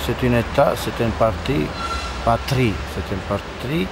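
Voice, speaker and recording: Halting man. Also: mid-range voice, expressive, creaky, background noise. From Bosnia-Herzegovina. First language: Serbo-Croatian. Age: 50s. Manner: halting, searching for words. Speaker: army commander. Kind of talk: field interview. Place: outdoors at a front line.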